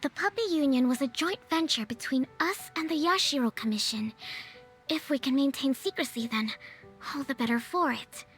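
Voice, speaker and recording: High-voiced, poised noble leader. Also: female voice, fairly bright tone, faint music behind. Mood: calm, reassuring. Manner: composed, polite, measured. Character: poised, reassuring noblewoman leader, gently teasing. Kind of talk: video game voice acting.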